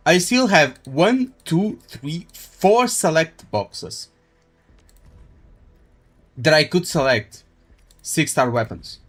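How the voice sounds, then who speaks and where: A man live streaming a game, chatting casually in a halting, rambling way as he thinks aloud. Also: a little high, animated, soft background music. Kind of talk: casual gaming stream chat.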